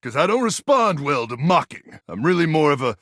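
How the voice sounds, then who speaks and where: A dramatic war-weary sci-fi soldier, wildly swooping delivery. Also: male voice, on the high side, fairly quick, dry recording, studio quality, full character voice. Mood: testy, rambling. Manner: melodramatic, deadpan absurd asides, sudden outbursts. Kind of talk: video game character acting.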